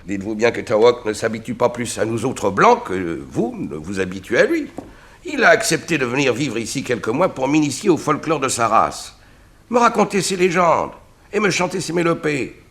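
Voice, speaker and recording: Urbane gentleman writer, very expressive. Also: medium pitch, normal speed. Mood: earnest, reassuring. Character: courteous, earnest gentleman writer, patient with his housekeeper. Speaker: actor. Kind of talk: 1960s French radio drama acting.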